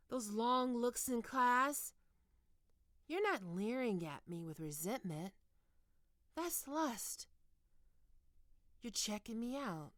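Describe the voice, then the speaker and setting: A woman playing a stern, teasing goth college professor in an ASMR roleplay, speaking deliberately and condescendingly into a close microphone. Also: mid-range voice, creaky, sharp tone, dry recording, exaggerated voice. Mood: knowing, accusing. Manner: condescending, taunting, deliberate with pauses. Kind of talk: ASMR roleplay, scripted monologue to listener.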